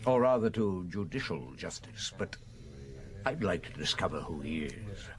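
A man playing a shrewd, unhurried French police chief inspector in a radio drama, musing and wry. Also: sits low, expressive. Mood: probing, wry. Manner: musing, deliberate, wry, hesitant pauses. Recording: old radio broadcast.

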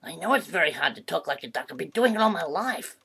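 Mischievous duck for kids' comedy, female performer: very gravelly, rough-edged, slightly low. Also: sing-song, fast talker, fairly bright tone, a little hiss or hum, heavily acted. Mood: upbeat, teasing. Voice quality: creaky, husky. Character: playful, mischievous talking duck who loves pressing buttons. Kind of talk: children's comedy skit.

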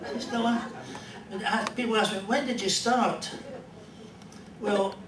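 An older man with a Scottish accent telling jokes and a rambling story at a stand mic on a small club stage, in a dry, unhurried way. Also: sits high, steady tone, crowd noise. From Scotland. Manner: dry, rambling joke delivery with pauses. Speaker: comedian and musician. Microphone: stand mic, filmed from a distant camera. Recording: roomy club sound from far off.

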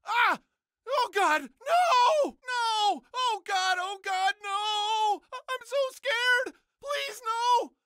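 High-strung, high-pitched cartoon dad. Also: male voice, animated, extremely slow, pushed and bright, a little hiss or hum, full character voice. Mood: terrified. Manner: jittery, whiny, exclamatory. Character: paranoid, foul-mouthed, bumbling cartoon dad, adult man. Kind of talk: animated comedy game voice acting.

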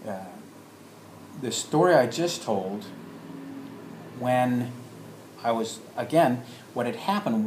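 A man telling a personal story in a halting way, acting out remembered exchanges as he goes. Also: lowish voice, darkish tone. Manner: halting, acts out remembered dialogue. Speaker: storyteller, alternative health practitioner. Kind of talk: personal anecdote told to listeners. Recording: roomy echo with background noise.

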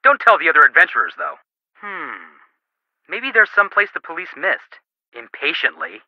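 A man's voice: fairly high pitch, huge pitch swings, normal speed, no room sound, dull audio. An actor playing a goofy, eager young male detective in a video game, with playful, wisecracking delivery. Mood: playful, wry. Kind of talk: video game character dialogue.

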